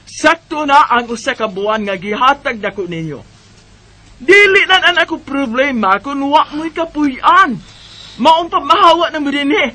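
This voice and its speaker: A woman's voice: sits high, lively intonation, normal speed, bright, forceful tone. An actress with a Visayan Filipino accent playing a harsh, impatient landlady in a radio drama, curt and scolding. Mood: stern, scolding. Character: harsh, impatient landlady evicting poor tenants.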